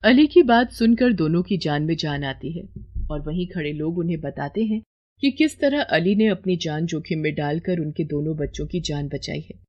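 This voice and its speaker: A woman's voice: sits low, lively intonation, moderate pace, darkish tone, dull audio. A woman narrating a scripted moral story as storyteller.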